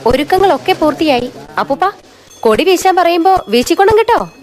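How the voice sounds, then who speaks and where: A woman's voice: very high-pitched, animated, a little fast, a little mellow. A woman playing a pleading young granddaughter. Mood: affectionate. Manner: pleading, affectionate. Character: young granddaughter, gentle and persuasive. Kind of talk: radio drama acting.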